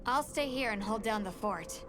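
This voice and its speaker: An eager young helper, voiced by a woman, sounds curious and animated, with a high, bouncy voice. A loud orchestral game score plays behind her. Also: moderate pace, music in the background, close to an everyday voice. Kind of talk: game cutscene voice acting.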